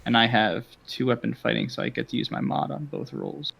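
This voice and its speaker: Gravelly-voiced man playing a tabletop game. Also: mid-range voice, huge pitch swings, a little fast, a bit bright, dull audio. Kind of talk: casual chat.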